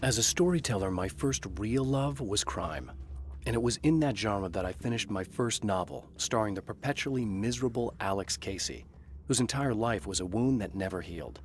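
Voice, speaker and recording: Male actor, brooding novelist game hero, low pitch, measured noir delivery, noisy background. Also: lively intonation, natural voice. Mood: somber, regretful. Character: brooding tormented bestselling crime novelist hero, adult man. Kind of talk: scripted first-person noir narration.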